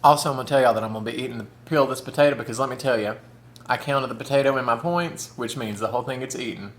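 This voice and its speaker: A man's voice: mid-range voice, racing. Chatty man eating on camera. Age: 30s. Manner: chatty, camp, says "y'all" and "honey"; talks with mouth full. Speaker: mukbang vlogger. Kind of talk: casual chat while eating.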